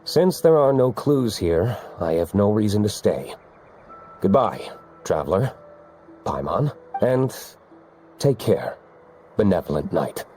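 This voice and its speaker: A curt, blunt knight. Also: male voice, lowish voice, sing-song, slow pace, long pauses, mellow, slight background noise. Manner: curt, dismissive.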